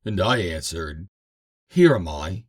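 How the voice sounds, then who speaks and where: A man's voice: low-pitched, expressive, takes it slowly, exaggerated voice. A man voicing an earnest young Amalekite messenger, declaiming his report in a scripture reading.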